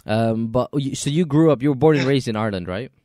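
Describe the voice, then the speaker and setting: A man hosting a podcast interview, talking in a polite, measured way with light joking. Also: medium pitch, lively intonation, warm tone, dry recording, studio quality. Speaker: podcast host. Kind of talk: casual interview chat.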